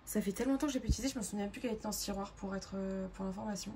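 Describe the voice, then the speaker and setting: A young woman with a sore throat and a hoarse voice, chatting casually to viewers as she sorts her makeup, rambling with plenty of fillers. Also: mid-range voice, very fast, background noise. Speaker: makeup artist vlogger. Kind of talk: casual sorting vlog, talking to viewers.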